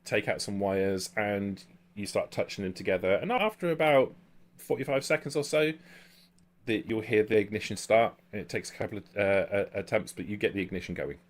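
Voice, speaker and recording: A man improvising narration as game master of a tabletop role-playing game, descriptive and halting as he builds each scene. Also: fairly low pitch. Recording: background music under the voice. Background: music.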